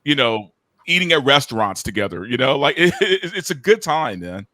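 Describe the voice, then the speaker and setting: A man's voice: mid-range voice, big pitch swings, moderate pace, pushed and bright, a little hiss or hum. A man making casual, informal conversation as a guest on a talk show. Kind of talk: casual chat.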